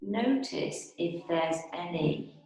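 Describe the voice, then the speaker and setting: A woman instructor guiding restorative yoga and meditation in a calm, soothing, unhurried manner with long pauses. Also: lowish voice. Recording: very echoey, reverberant room.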